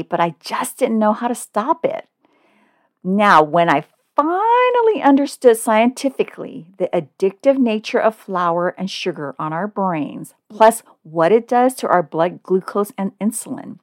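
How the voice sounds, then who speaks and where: A woman's voice: medium pitch, a little mellow, dry recording, studio quality. A woman in her forties, a podcast host from California, talking in an upbeat, chatty, personal way. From Oakland, California. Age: 40s. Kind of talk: scripted podcast monologue.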